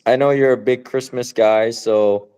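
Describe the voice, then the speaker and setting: A man co-hosting a podcast, chatting casually in a relaxed, rambling way, swearing now and then and laughing often. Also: mid-range voice, a little slow, clean tone. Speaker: podcast co-host. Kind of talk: casual chat.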